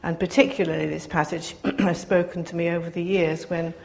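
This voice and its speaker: An older woman giving a soft-spoken, reflective personal sermon to her congregation, pausing often as she speaks. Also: lowish voice, level tone, vocal fry, poor audio. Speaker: preacher.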